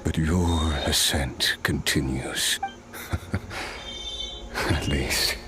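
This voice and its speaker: Very deep, tormented immortal warrior. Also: male voice, steady tone, sharp tone, faint music behind, exaggerated voice. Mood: resigned, hopeful. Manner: grave, deliberate, theatrical. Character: tormented, cursed immortal male warrior, weary and solemn. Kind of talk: dramatic video game voice acting.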